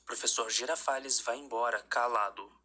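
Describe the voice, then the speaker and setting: A man reading a scripted narration of a dark story. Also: medium pitch, moderate pace, background noise.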